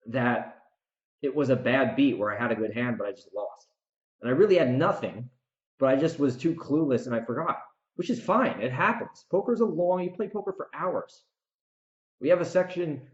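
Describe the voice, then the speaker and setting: A man hosting a podcast as its author, giving an unscripted, opinionated monologue, emphatic, with repeated words and dramatic pauses. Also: mid-range voice, echoey room, muffled recording.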